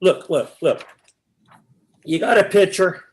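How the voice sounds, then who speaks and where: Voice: mid-range voice, lively intonation, slow pace. A performer playing an exasperated, confused questioner in a staged comic baseball dialogue, pressing insistently for answers. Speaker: amateur readers theater performer.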